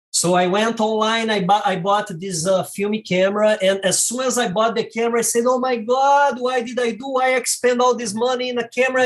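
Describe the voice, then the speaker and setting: A man in his forties from Brazil with a Brazilian Portuguese accent, a documentary filmmaker talking as a guest in an unhurried, halting way full of fillers and restarts. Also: sits high. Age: 40s. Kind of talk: casual interview talk.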